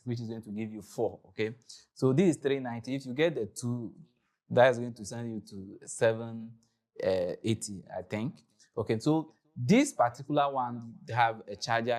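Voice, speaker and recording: A man's voice: on the low side, a little mellow. A man with a Ghanaian accent presenting an informal explanatory tutorial and product overview.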